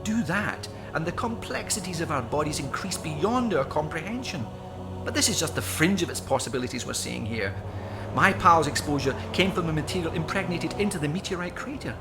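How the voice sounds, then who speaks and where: An actor playing an obsessed, smug mad scientist in a video game, with a Scottish accent and a theatrical, grandiose manner. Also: male voice, fairly high pitch, expressive, brisk, over music. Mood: fascinated, lecturing. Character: obsessed, smugly excitable male mad scientist. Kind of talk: dramatic game cutscene acting.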